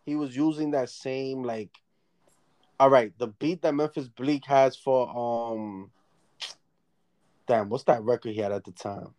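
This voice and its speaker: A man talks in an easygoing, unhurried way, his pitch sitting right in the middle of the range. He cusses freely and often loses his thread, trailing off mid-thought before circling back to his point. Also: warm tone. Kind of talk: casual chat.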